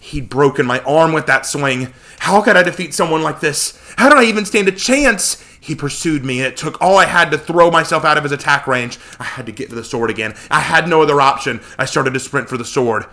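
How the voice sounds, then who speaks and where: A man's voice: a little high, animated, a little fast, hardly any pauses, bright, forceful tone. A man reading a fantasy story aloud as dramatic, intense scripted narration. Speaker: story reader.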